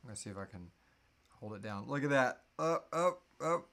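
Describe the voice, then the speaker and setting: A man's voice: mid-range voice, lively intonation, a little fast, fairly bright tone. A man in his thirties chatting casually and jokingly as co-host of a live Q&A. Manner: jokey, playful. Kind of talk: casual chat. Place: small room indoors, on a couch.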